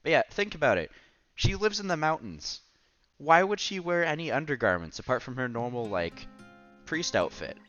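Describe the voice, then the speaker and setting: A man reading a visual novel aloud as a streamer, breaking into casual, joking asides in an animated way. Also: fairly high pitch, normal speed, dull audio. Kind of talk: casual let's-play commentary mixed with expressive story reading. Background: music under the voice.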